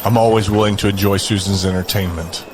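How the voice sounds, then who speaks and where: Low-voiced curt camp boss played by a man. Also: steady tone, quick pace, noisy background, close to an everyday voice. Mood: businesslike. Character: ruthless male camp boss, curt but indulgent. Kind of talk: audio drama acting.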